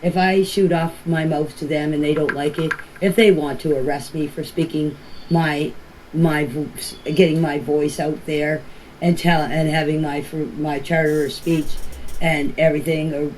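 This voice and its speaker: A woman's voice: low-pitched, little pitch movement, unhurried, darkish tone. An older woman talking casually in rambling, plain-spoken voice messages, often repeating herself. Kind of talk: casual personal voice messages to a group chat. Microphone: phone voice messages. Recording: noisy amateur voice message.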